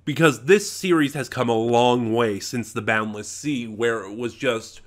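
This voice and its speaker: A man reviewing in a casual, unscripted way, opinionated and rambling, halting and correcting himself as he goes. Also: medium pitch. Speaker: reviewer, channel host.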